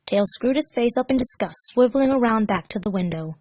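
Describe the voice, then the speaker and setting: A woman reading a scripted story aloud as narrator, measured and expressive. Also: fairly high pitch, no echo. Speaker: fan fiction narrator.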